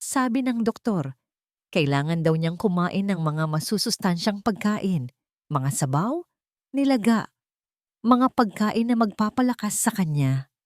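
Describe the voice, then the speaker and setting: A woman's voice: mid-range voice, expressive, measured pace, long pauses, a little mellow, no room sound, pitched above the performer's own voice, close to an everyday voice. Woman narrator acting young daughter-in-law, Filipino accent, falsely sorrowful, pleading, weighty words, storybook drama, dry sound. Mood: sad, pointed.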